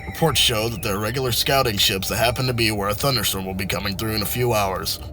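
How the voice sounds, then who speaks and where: An actor playing a brisk, no-nonsense airship captain in an audio drama. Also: male voice, on the low side, close to an everyday voice. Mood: businesslike, firm. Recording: music behind.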